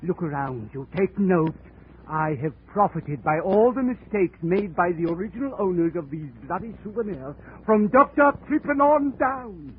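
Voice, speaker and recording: Formal, unhinged older murderer. High, precise, near-hysterical. Vintage radio drama. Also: male voice, lively intonation, normal speed. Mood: smug. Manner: prim, precise diction that breaks into panicked shrieks. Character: deranged older man murderer, fussy and formal. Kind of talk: 1940s radio acting. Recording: tinny old radio broadcast with faint hiss.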